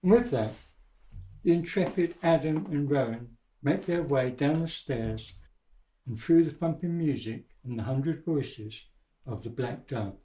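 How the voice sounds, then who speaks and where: Measured storyteller played by a man, atmospheric radio drama narration, dark tone, roomy. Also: medium pitch, lightly acted. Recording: echoey room.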